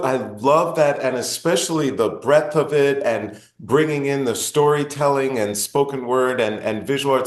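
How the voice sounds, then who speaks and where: A man hosting an interview, asking long, earnest, winding questions. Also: medium pitch. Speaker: podcast host, interviewer. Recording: roomy echo.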